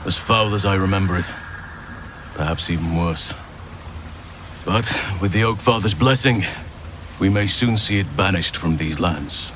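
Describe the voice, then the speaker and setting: An actor playing a grave, earnest druid in a fantasy game, speaking with solemn, weighty declamation. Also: male voice, deep voice, measured pace, long pauses, a bit bright, slight background noise. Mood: grim. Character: grave, earnest male druid of a cursed land. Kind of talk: dramatic fantasy game dialogue.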